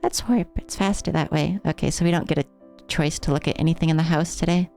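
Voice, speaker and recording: A woman's voice: low-pitched, steady tone, fast talker, gravelly, a little mellow. An American woman with a slight speech impediment and a trained, affected silly voice, casually commentating a game as a live streamer, musing and easily amused. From United States, Rocky Mountain area. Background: video game music.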